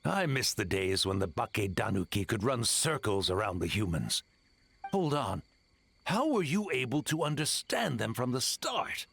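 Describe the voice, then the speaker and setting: A man voicing a proud, excitable tanuki mentor in a video game, with a theatrical, blustering and suspicious delivery. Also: mid-range voice, normal speed, gravelly, husky, heavily acted. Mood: fussy. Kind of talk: English video game voice acting.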